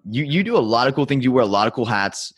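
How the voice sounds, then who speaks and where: A young man hosting a podcast, easygoing and quick to laugh as he sets up the guest. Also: medium pitch, big pitch swings, husky, dry recording, studio quality. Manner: easygoing, laughs often, brief backchannels. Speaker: podcast host. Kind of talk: casual interview talk.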